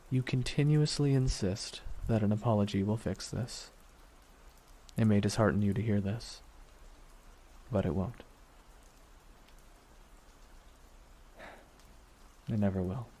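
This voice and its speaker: A man playing a grieving, vengeful supervillain in an ASMR roleplay monologue, weary and softly menacing, brooding with deliberate pauses. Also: fairly low pitch, natural voice. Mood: mournful, resigned. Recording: steady noise under voice, rain ambience.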